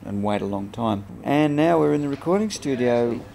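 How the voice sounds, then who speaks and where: A man's voice: mid-range voice, lively intonation, moderate pace, vocal fry, mellow, slight background noise. A man, a singer from India, talking in an interview about recording his album in a studio. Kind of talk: documentary interview. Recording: music playing behind the talk.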